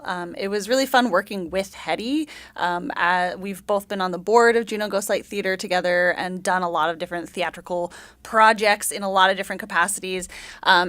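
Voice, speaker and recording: A woman's voice: medium pitch, sharp tone, no room sound. A woman speaking as an interview guest, explaining her work in a casual, conversational way. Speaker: radio play director and producer, interview guest.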